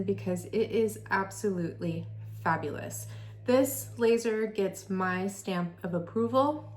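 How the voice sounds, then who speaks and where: Forties female esthetician vlogger, enthusiastic and conversational, mid-pitched. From California. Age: 40s. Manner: chatty, enthusiastic, reads specs aloud. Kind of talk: product review vlog.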